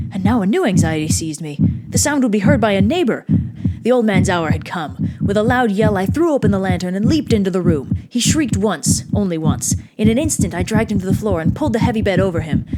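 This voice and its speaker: The voice is a manic, nervous killer from a Gothic horror tale, acted out by a woman. It has a soft-edged, mellow tone at an ordinary female pitch. The delivery is theatrical and intense, with careful old-fashioned phrasing that insists on sanity. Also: expressive, brisk, slight background noise, natural voice, rough recording. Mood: agitated. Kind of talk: dramatic reading of a Gothic horror story.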